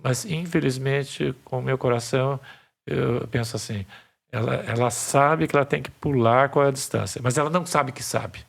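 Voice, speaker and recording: A man's voice: medium pitch, moderate pace, vocal fry. A man, a psychiatry professor, patiently explaining ideas as a podcast guest, often restarting his phrases. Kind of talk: podcast interview.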